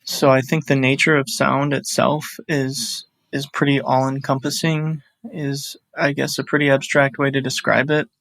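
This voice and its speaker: A man from a podcast team, talking in a measured and reflective way. His voice is mid-pitched, and the recording is close and dry. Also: little pitch movement, gravelly, a bit bright, dry recording. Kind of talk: casual chat.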